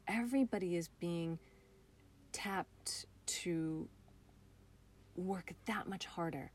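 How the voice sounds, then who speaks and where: Woman, theater director in conversation, slightly low register, earnest and expressive. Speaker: theater director and actor being interviewed. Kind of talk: reflective interview conversation.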